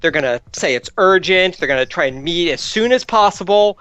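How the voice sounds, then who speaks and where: A man's voice: high-pitched, animated, a little fast, bright, forceful tone, clear, resonant tone, no room sound, lo-fi sound. A man playing a tabletop game, arguing casually in an emphatic, exasperated, lecturing way. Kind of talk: casual argumentative game banter.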